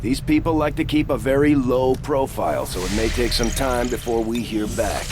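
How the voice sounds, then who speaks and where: A male actor plays a military operations officer briefing his commander in a video game. He is formal, clipped and matter-of-fact at a medium pitch, with music playing clearly behind him. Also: moderate pace, natural voice. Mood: measured, informative.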